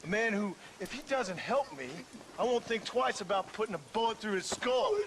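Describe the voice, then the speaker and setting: A man's voice: high-pitched, animated, moderate pace, background noise. A man playing a tough, world-weary cowboy gunman in a video game, dry, blunt and unimpressed. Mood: menacing. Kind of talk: dramatic video game acting.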